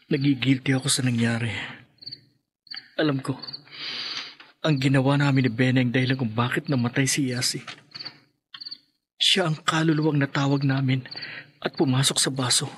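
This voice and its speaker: Radio drama: male actor as a troubled, guilty man, inner monologue, mid pitch. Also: steady tone, normal speed, pauses often, a bit bright, slight background noise, lightly acted.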